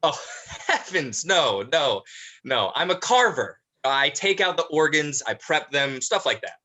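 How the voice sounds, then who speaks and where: A man's voice: a little high, big pitch swings, a little slow, sharp tone, full character voice. A man playing a polite, apologetic elder brother in a dramatized script reading, hesitant and restrained. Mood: modest.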